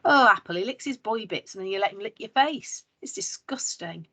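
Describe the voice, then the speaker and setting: A woman voicing a fussy older Irish grandmother who is gentle but firm and tends to scold. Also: mid-range voice, expressive, moderate pace, voice pushed up. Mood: fretful. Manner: scolding, bossy, fretful.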